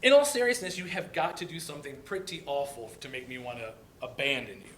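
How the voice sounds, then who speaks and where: A man's voice: mid-range voice, fast talker, lightly acted. A man playing a caring, slightly awkward young friend in a naturalistic play reading, hesitant and earnest. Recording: faint background noise.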